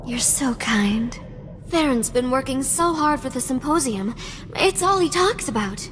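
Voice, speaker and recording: A woman's voice: sits high, lively intonation, measured pace, fairly bright tone. An actress playing a devoted, sweet and flirty female companion in a video game, with a cutesy, coaxing manner. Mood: worried. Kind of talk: video game cutscene acting. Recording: long reverb, music underneath.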